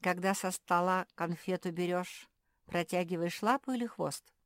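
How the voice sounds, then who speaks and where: Woman playing a male terrier, storybook, level-headed, questioning, gently lecturing, reasoning delivery. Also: fairly low pitch, moderate pace, no echo, studio quality, natural voice. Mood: reasoning, reproving. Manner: reasoning, didactic.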